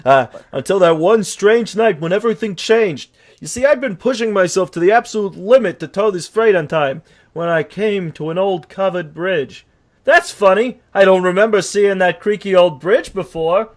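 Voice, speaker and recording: A man's voice: high voice, moderate pace, close to an everyday voice. A man voicing an eager talking horse who fancies himself a crook, with theatrical, folksy storytelling in a comic fandub. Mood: dramatic storytelling. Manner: theatrical, folksy old-fashioned turns of phrase. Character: self-pitying talking horse, eager cheerful rogue wannabe. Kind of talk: comic comic-book fandub reading with storytelling flourishes.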